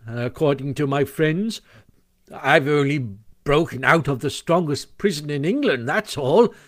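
A man voicing a boastful, excitable toad in a storybook, melodramatic, puffed-up and emphatic. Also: high voice, pitched above the performer's own voice. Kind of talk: storybook character voice.

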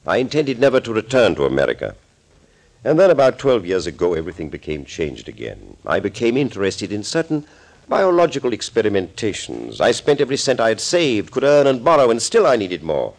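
Sinister doctor, low voice, swooping delivery, florid courtesy, gloating schemer, old radio thriller. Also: male voice, huge pitch swings, a little fast, exaggerated voice. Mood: brooding, bitter. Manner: florid, theatrical, mock-polite. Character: sinister middle-aged male doctor, suave, gloating schemer. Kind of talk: 1940s radio thriller acting.

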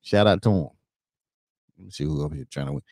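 A man's voice: extremely low pitch, fast, creaky, warm tone, no echo, studio quality. A man hosting a casual show, joking loosely and often adding "you know what I'm saying.". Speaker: show host. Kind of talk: casual banter with sung jingle.

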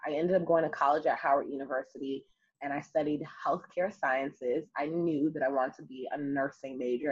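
Young woman, low pitch, husky tone, lively emphatic talker, muffled lo-fi sound. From United States. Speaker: guest, city councilwoman. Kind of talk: conversational interview. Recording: muffled lo-fi audio.

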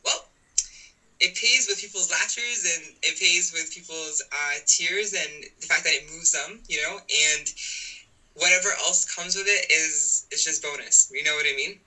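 A woman's voice: deep voice, unhurried, pushed and bright, poor audio. A woman from Canada, guest on an interview podcast, telling her story in a rambling, filler-heavy way. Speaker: podcast guest, writing coach. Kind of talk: casual interview chat.

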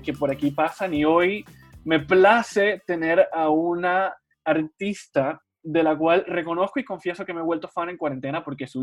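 Upbeat male podcast host. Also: on the high side. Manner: upbeat, welcoming presenter. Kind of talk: podcast interview.

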